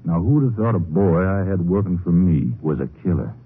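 An actor playing a folksy, grumbling rancher with an American Western accent and rustic speech in a radio western. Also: male voice, deep voice, expressive, normal speed, slightly rough. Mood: evasive, casual. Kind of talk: 1950s radio western acting. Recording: noisy, tinny 1950s radio recording.

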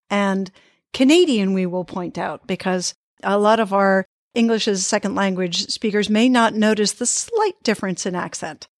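A woman hosting a podcast, introducing her guest and asking questions in a measured, unhurried way. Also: medium pitch, dry recording, clean studio sound. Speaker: podcast host.

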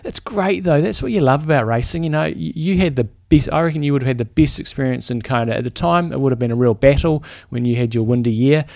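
A man's voice: medium pitch, fast, vocal fry, few pauses, darkish tone, no echo, clean studio sound. A man with a New Zealand accent, a triathlon coach co-hosting a podcast, easygoing and rambling in casual chat. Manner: easygoing, rambling, restarts his sentences.

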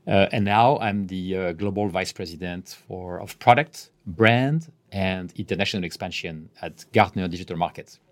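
A man in his fifties speaks English with a French accent. His voice sits on the low side, and he chooses his words carefully, pausing often and letting phrases fade quietly at their ends. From France. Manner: measured, often pausing mid-phrase, voice trailing off softly. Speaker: guest executive. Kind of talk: podcast interview answers.

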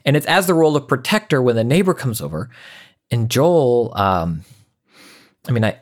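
A male podcast host with a mid-pitched voice. He speaks in a measured way, recapping and leading into interview questions. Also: expressive. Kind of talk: scripted-sounding interview commentary with questions.

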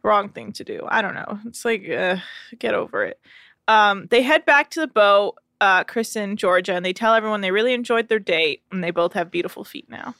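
A woman's voice: medium pitch, a little fast, a bit bright, no room sound. A woman co-hosting a casual podcast, recapping a reality show with sarcastic, dry asides. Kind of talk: casual chat.

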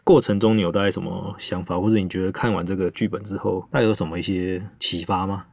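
A man's voice: low voice, fairly even intonation, quick pace, gravelly, darkish tone, no echo. A man with a Taiwanese Mandarin accent, hosting a casual chat. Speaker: host.

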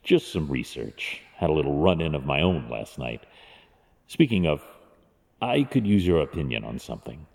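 Extremely low male voice, gravelly, stern brooding vigilante, clipped commands, audio drama, long reverb. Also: very animated, moderate pace, darkish tone, heavily acted. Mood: grave, concerned. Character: brooding, stern adult male masked vigilante and wealthy guardian. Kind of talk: fan audio drama acting.

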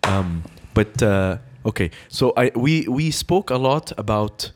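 Guy hosting a podcast, lively up and down voice, jokey and teasing, laughs a lot. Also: on the low side, takes it slowly, noisy background. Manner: playful, teasing, laughs often. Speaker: podcast host. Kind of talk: casual podcast chat.

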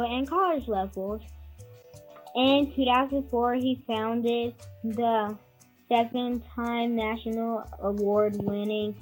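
A guest reads a prepared introduction aloud slowly and carefully. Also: female voice, a little high, poor audio. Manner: slow, careful reading. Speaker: child guest reader. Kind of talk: reading an introduction.

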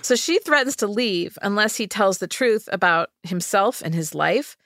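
A woman hosting a podcast, telling a story in casual chat, animated and jokey. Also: mid-range voice, moderate pace, no echo, studio quality. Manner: animated, jokey, mimics voices.

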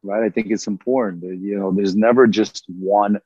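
A man's voice: fairly low pitch, fairly even intonation, moderate pace, a little mellow, breathy edge, no room sound, dull audio. A middle-aged man from Ukraine, a native Russian speaker, talking earnestly as an interview guest and rambling with lots of "you know" fillers. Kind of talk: casual interview conversation.

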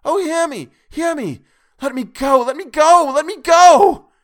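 A man's voice: extremely high pitch, measured pace, a bit bright, pitched above the performer's own voice. A man voicing a frantic male asylum patient who pleads desperately to be released. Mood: frantic pleading. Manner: desperate, pleading, rising to shrieks. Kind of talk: audiobook character voice.

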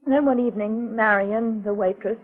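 A woman's voice: fairly high pitch, steady tone, a little fast, natural voice. An actress playing a frightened, earnest woman client in a 1940s radio mystery, speaking carefully and dramatically. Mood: anxious recounting. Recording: tinny, phone-like 1940s radio recording.

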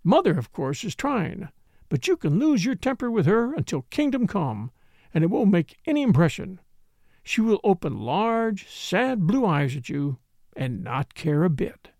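A man voicing a languid, blunt young society woman who speaks in a slow drawl, in an audiobook. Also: sits high, sing-song, darkish tone, no room sound, studio quality, exaggerated voice. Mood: dry, worldly.

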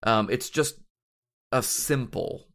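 A man hosting a casual podcast, a bit hoarse after shouting at a game, chatting in a rambling way with many ums and restarts. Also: medium pitch, animated, slow pace. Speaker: podcast host.

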